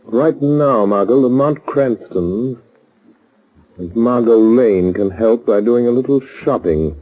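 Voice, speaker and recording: A male actor plays a thoughtful gentleman sleuth in a 1930s radio drama. He speaks in a dark, relaxed mid-range voice, with educated and deliberate phrasing. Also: medium pitch, natural voice, rough recording. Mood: grave, composed. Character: calm, thoughtful gentleman sleuth concerned for the poor.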